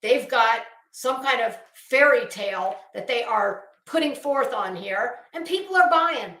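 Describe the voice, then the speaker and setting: A woman's voice: on the high side, animated, unhurried, fairly bright tone. A woman giving an unscripted opinion rant as a channel host, in a blunt, scornful and emphatic manner. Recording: roomy, echoing sound.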